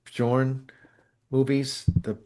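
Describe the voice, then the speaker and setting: A man's voice: medium pitch, flat delivery, extremely slow, dark tone, poor audio. A man co-hosting a casual podcast, rambling and blunt, often searching for words mid-sentence. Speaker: co-host, musician.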